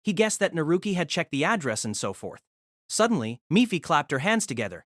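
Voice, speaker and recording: Animated narrator, close dry sound. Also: female voice, deep voice, brisk, no echo. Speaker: audio drama narrator and reader. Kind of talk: scripted narration of a light novel.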